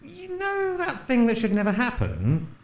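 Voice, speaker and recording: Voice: medium pitch, wildly swooping pitch, a little slow, reverberant. An actor playing a nervous, apologetic radio station engineer in an audio drama, hesitant and sheepish.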